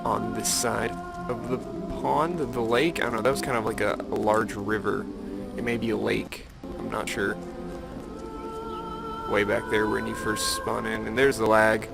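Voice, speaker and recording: A man's voice: fairly low pitch, level tone, measured pace, vocal fry, over loud music. A man giving casual, rambling gameplay commentary, thinking aloud with playful self-mockery. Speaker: gamer narrating his own playthrough.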